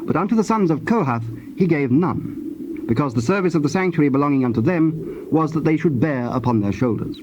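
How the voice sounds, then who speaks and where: A man narrating scripture from a script in a measured, formal, solemn manner. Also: on the high side, animated. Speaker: narrator of dramatized Bible. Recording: dull, noisy old cassette tape.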